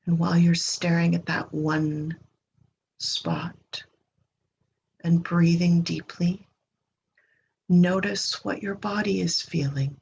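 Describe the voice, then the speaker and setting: A woman leading a guided meditation in a gentle, unhurried manner, leaving long pauses and trailing off breathily at the ends of phrases. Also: on the low side, fairly even intonation. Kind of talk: guided meditation, slow soothing instruction. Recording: dull lo-fi recording with clear background noise.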